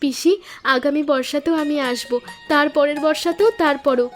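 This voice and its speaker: A woman's voice: high-pitched, normal speed, lightly acted. A woman playing an affectionate, eager young niece in a cartoon story, speaking in an earnest, sentimental way. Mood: devoted. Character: affectionate, eager young niece visiting her village aunt. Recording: music under the voice.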